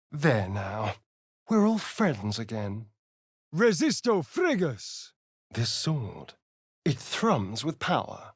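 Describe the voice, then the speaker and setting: A man's voice: mid-range voice, slightly rough, dry recording, clean studio sound, heavily acted. A male actor playing a vain, sly, sardonic vampire adventurer in a video game, with a theatrical, haughty delivery. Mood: loud, dramatic. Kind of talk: video game character voice lines.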